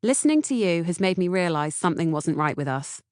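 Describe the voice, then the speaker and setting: A woman's voice: lowish voice, sing-song, brisk, a little mellow, no room sound, clean studio sound. A woman with a British accent reading scripted audiobook narration. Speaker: author-narrator reading audiobook, podcast co-host.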